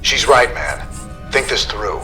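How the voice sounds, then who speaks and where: An actor playing a gruff, world-weary, principled rebel commander in a sci-fi game, with a plainspoken drawl. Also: male voice, on the low side, very animated, slow pace, pushed and bright, soft background music, exaggerated voice. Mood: determined. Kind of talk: dramatic sci-fi game cinematic acting.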